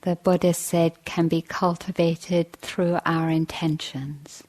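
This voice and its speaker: A woman meditation teacher giving a guided dharma talk, gently and in measured short phrases with long pauses. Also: lowish voice, flat delivery.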